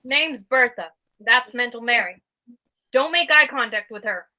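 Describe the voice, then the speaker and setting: A woman's voice: fairly high pitch, animated, unhurried, bright, forceful tone, exaggerated voice, rough recording. A woman acting a bold, sassy female bandit in a Western table read.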